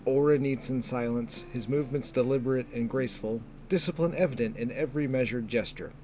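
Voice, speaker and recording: A man narrating a scripted fantasy story in a measured, descriptive storytelling manner. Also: medium pitch, darkish tone. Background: music.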